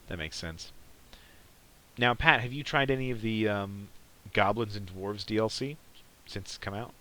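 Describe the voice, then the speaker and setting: A man's voice: lowish voice, normal speed, pushed and bright. A man casually commentating on gameplay as a podcast host, in a rambling, hesitant way. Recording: clearly noisy background behind voice.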